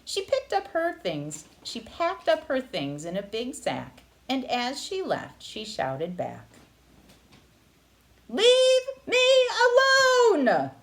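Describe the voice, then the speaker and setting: Very animated woman reading a storybook. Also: a little high, slow pace, creaky, hardly any pauses, a little hiss or hum. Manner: expressive, dramatic phrasing. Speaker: read-aloud storyteller. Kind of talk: children's picture-book narration.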